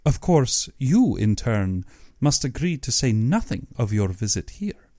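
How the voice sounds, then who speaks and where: A man's voice: mid-range voice, very animated, a little slow, mellow, no echo, clean studio sound. A man voicing an urbane, aristocratic general in an audiobook, a courtly and theatrical villain who savors his words with sinister glee. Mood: smooth, taunting. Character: urbane, cultured aristocratic general, gleefully sinister big-game hunter.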